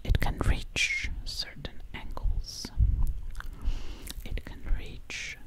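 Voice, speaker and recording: A woman hosting ASMR in a close, breathy whisper, soothingly repeating her phrases. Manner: slow, soothing repetition of phrases. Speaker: ASMR video host. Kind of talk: ASMR roleplay pampering. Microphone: close microphone.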